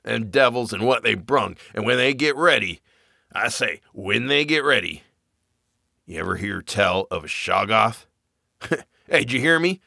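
A man's voice: mid-range voice, animated, unhurried, vocal fry, pushed and bright, no echo, studio quality, full character voice. A man voicing a wild, terrified old man who raves in a rustic old-timer dialect, in a horror story reading. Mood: raving. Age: older. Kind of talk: audiobook character reading.

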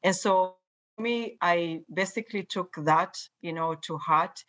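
A woman from Kenya with a Kenyan accent, speaking slowly and carefully as a guest on a panel discussion. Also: fairly low pitch, fairly bright tone. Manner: measured, deliberate enunciation.

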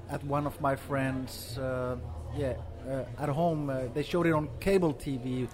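A man in his forties, from Finland and raised in Sweden, speaking casually with many fillers as an interview guest. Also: fairly high pitch. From Finland, grew up in Sweden. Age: 40s. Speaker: guest artist.

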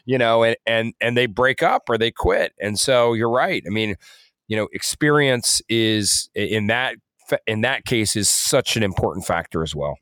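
A man co-hosting a podcast in casual conversation, speaking in a halting, rambling way with frequent self-corrections. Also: fairly low pitch, a bit bright, smooth, dry recording, clean studio sound. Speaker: podcast co-host.

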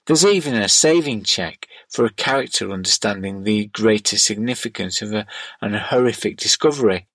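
A man's voice: lowish voice, a bit bright, dry recording. A middle-aged man reading scripted narration and listener letters aloud as a podcast host.

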